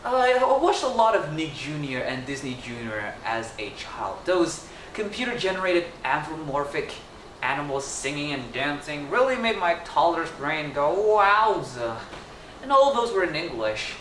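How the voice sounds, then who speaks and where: A teenage boy whose first language is Indonesian, telling a story in a speech to a camera across a small room, animated and playful. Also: fairly high pitch, normal speed. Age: teens. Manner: animated, gesturing, playful asides. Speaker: student storyteller. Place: small indoor room with wallpaper. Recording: echoey room, mic at a distance, faint noise.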